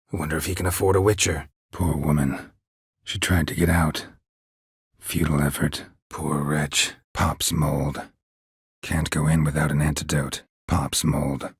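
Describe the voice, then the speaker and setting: A man voicing a gruff, stoic, world-weary monster hunter in a video game, speaking tersely in a husky rasp with dry, deadpan understatement. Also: very deep voice, dry recording, exaggerated voice. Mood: grave. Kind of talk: video game character dialogue.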